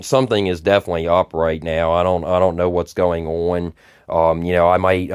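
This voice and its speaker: A man, a bit raspy from just waking up, rambling through casual commentary with lots of fillers and repeated words. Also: low-pitched, darkish tone, dry recording, clean studio sound. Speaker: tech vlogger. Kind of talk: casual screen-recorded commentary.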